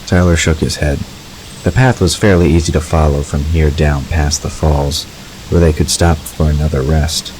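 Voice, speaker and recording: Super deep guy narrating an audio drama story, scripted, slight background noise. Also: darkish tone, rough recording.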